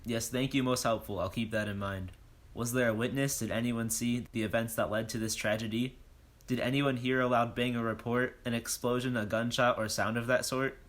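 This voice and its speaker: An actor playing a bold, self-important police detective, declaiming in rhyme in a comic audio drama. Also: male voice, medium pitch, fairly even intonation, fairly quick, a bit bright, lightly acted. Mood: pompous, businesslike. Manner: declamatory, questioning, speaks in rhyme.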